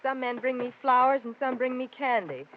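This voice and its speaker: A woman's voice: sits high, fairly quick, close to an everyday voice. An actress playing a detective's sassy, teasing, unsentimental girlfriend in an old-time radio drama, with sardonic wisecracks. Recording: muffled lo-fi old radio with noise.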